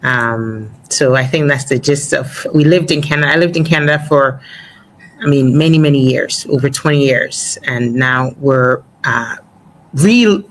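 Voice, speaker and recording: A woman in her forties, originally from Ghana, talks as a guest in a casual interview. Her voice sits very low for a woman. She is chatty, laughs easily and fills pauses with little ums and uhs. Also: poor audio.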